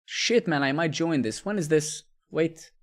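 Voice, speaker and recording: A man's voice: on the high side, unhurried. A man, chatting casually with viewers during a live coding stream, rambling and repeating words. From Serbia.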